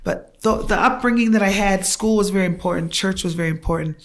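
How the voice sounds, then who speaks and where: Middle-aged woman from tonga, tongan first language, talks earnest and reflective about growing up, medium pitch. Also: quick pace. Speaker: guest. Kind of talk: podcast interview, recounting childhood.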